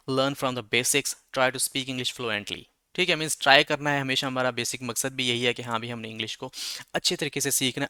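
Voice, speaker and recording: Man, casual English lesson, clear smooth voice, dry close sound. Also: mid-range voice, pushed and bright, no echo. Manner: conversational, repeats filler checks. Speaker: English teacher. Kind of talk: explaining lesson, mixing Urdu and English.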